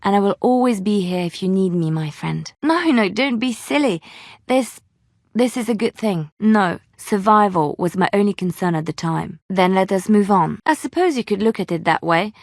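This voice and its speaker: Gentle young bard lady for a fantasy game, earnest, wistful, pauses to think, dry close sound. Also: medium pitch, expressive, no room sound. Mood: resigned, tender. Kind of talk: dramatic fantasy game dialogue acting.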